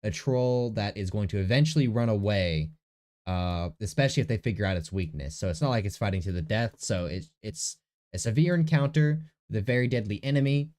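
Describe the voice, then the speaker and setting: A man's voice: on the low side, animated, fairly quick, darkish tone. A young man with a nasal voice, chatting casually in his bedroom while he prepares a tabletop game session, thinking aloud and correcting himself. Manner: rambling, self-correcting, talks to himself. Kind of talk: casual screen-recorded prep vlog. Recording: faint echo in the room.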